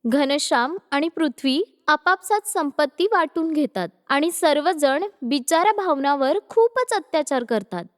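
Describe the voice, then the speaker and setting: A woman's voice: high voice, lively intonation, unhurried, hardly any pauses. A woman reading scripted narration for a moral story as its narrator. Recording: long hall-like reverb.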